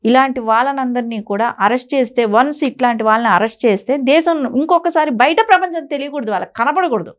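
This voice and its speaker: A woman's voice: high-pitched, fast talker, hardly any pauses, muffled recording. A woman giving opinionated news commentary in an emphatic, insistent way, often using rhetorical questions. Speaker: political commentator on a news talk show.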